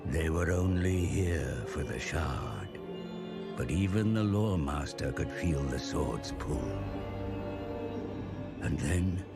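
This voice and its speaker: A grave narrator of epic fantasy war, speaks in a very low register. The delivery is slow, solemn and weighty, as scripted game cutscene narration. Very loud music plays beneath the voice. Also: male voice, lively intonation, creaky, exaggerated voice. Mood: solemn, foreboding. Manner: solemn, portentous, deliberate.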